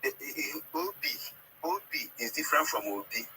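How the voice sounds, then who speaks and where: A man from southern Nigeria with a southern Nigerian accent and Ika as his first language, calling in to explain history, haltingly, repeating words and checking he is understood. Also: fairly high pitch, wildly swooping pitch, gravelly, sharp tone, slightly rough. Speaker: caller. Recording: noisy call audio.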